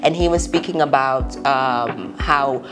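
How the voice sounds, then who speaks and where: A woman's voice: very deep voice, music in the background. A woman co-hosting a faith podcast, a little congested from a cold, reacting expressively in casual chat. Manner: expressive, quick to react with laughter and exclamations. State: congested, slightly nasal from a cold. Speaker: podcast co-host.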